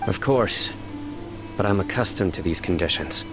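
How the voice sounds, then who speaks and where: An actor voicing a stern young male desert warrior-judge in a game, grave, measured and clipped. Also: low voice, lively intonation, over loud music. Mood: steady. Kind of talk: game voice acting. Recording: game audio with noise.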